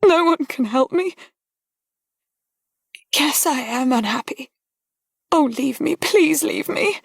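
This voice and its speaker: Brief: a woman playing a high, tremulous young lady on the edge of tears, breathy and pleading, storybook reading. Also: lively intonation, a little slow, no room sound, clean studio sound, full character voice. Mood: tearful. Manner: breathless, pleading, breaking into whispers. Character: anguished young woman, tearful and trembling.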